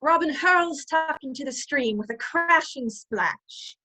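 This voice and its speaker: A woman's voice: high-pitched, lively intonation, takes it slowly, bright, forceful tone, full character voice, poor audio. A woman narrating a scripted radio play in an arch, theatrical storytelling manner. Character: animated storytelling narrator of an outlaw adventure.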